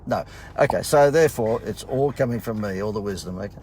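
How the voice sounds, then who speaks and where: Husky man talking casually. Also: fairly low pitch, brisk, darkish tone, slight background noise, rough recording. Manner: rambling, joking, many fillers and restarts. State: slightly husky from talking a lot. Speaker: marketing consultant. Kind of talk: casual promo vlog. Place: in a car.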